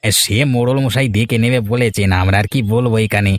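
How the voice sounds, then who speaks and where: A man voicing a young village fish seller in a cartoon, talking in quick, resigned chatter. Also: fairly low pitch, steady tone, dry recording, close to an everyday voice. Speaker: cartoon character.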